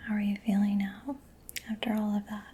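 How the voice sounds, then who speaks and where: A woman speaking in a soft, breathy whisper, gentle and soothing, in a close-miked ASMR personal attention roleplay as a mechanic. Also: mid-range voice, little pitch movement, brisk, slight background noise. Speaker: ASMR roleplayer playing a robot repair mechanic. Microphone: close microphone.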